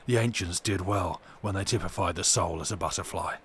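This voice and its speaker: A man's voice: sits low, fairly quick, fairly bright tone, pitched below the performer's own voice. A cunning madman acted out by a man reading a storybook holds forth with pompous superiority. He is emphatic and grandiose, an asylum patient sure of his own cleverness. Faint noise sits behind the voice. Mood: smugly superior.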